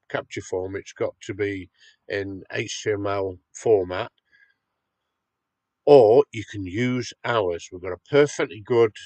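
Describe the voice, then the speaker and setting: A man's voice: lowish voice, a little mellow, no room sound. A man with a cold, sounding slightly nasal, walking viewers step by step through a website tool in a screen-recorded tutorial. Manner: patient, step-by-step, checks in with "okay". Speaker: website owner demonstrating a tool.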